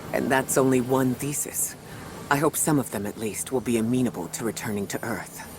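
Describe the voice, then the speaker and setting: An actor playing a grave, scholarly commander in a sci-fi game, speaking in formal, articulate sentences. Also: male voice, mid-range voice, natural voice. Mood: earnest, awed. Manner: formal, measured wording, articulate. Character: grave, scholarly male commander, cautious and curious. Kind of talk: video game dialogue acting. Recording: loud ambient noise behind the voice.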